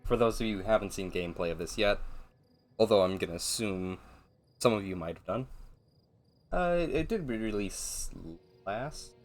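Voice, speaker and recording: A man giving casual, rambling gameplay commentary in a flat, monotone voice, with a self-deprecating manner. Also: on the low side, normal speed, pauses often, a little hiss or hum. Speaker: gaming video host.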